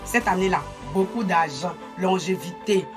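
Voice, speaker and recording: A woman's voice: fairly low pitch, moderate pace. Woman addressing followers over music. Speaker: social media personality. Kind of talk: casual selfie-style address to followers. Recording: music playing behind voice.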